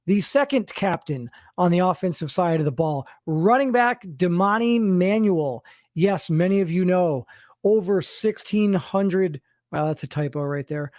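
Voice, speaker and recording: A man hosting as a sports team general manager, announcing to followers and stressing names and stats with deliberate emphasis. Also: high voice, lively intonation, a little mellow, dry recording, studio quality.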